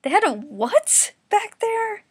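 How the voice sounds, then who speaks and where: A woman voicing a hot-tempered teenage boy who shouts in exasperation in a fan story reading. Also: very high-pitched, sing-song, slow pace, no room sound, studio quality, voice pushed up, full character voice. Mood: shocked.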